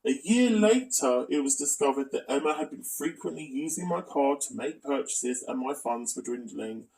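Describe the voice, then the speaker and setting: A man's voice: mid-range voice, gravelly, darkish tone, distant mic, poor audio. A man narrating a scripted exposé as a video commentator, with asides and a critical, accusatory manner.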